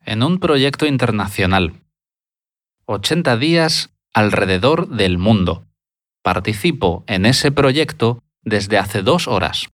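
A man speaking in a language lesson, answering questions by repeating them in full, deliberate sentences. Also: fairly low pitch, animated, no echo, studio quality. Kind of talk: slow, deliberate lesson dialogue.

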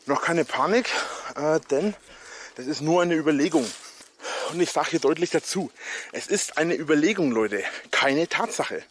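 A man narrating a walking vlog outdoors in a forest, out of breath, rambling with many halting fillers, filmed on a handheld camera. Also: on the high side, animated, slight background noise. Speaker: vlogger.

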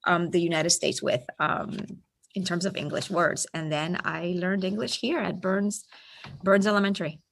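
A woman's voice: lowish voice, fairly even intonation, normal speed, gravelly, slight background noise. A woman from Puerto Rico, a school superintendent, telling stories in a chatty interview. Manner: chatty, warm storytelling with fillers. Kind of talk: casual interview.

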